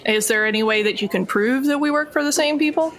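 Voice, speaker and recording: Higher-voiced woman improvising in a game. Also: fast talker, fairly bright tone. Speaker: tabletop game player. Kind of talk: casual in-character improvised chat.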